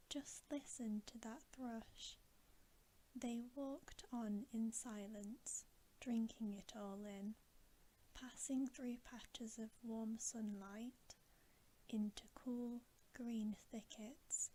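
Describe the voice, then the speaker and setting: Slow whispering woman reading a bedtime story. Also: fairly high pitch, rough recording. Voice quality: soft, breathy whisper. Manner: slow, gentle, hushed delivery. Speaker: ASMR reader.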